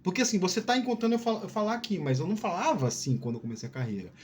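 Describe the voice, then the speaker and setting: A man's voice: sits high, lively intonation, rapid-fire. A man giving casual career advice as a video host, informal and digressive, often repeating words and checking in with the listener. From Piauí, Brazil.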